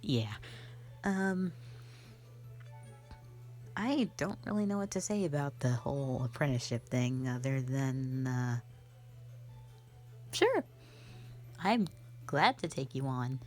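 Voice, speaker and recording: Casting: actress as an easygoing, flirty sorceress mentor for fantasy audio roleplay; deep female register, leisurely and offhand, drifting into asides. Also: animated, takes it slowly, pauses often, darkish tone, faint music behind, heavily acted. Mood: easygoing, musing. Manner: rambling, hesitant, offhand, conversational with frequent asides.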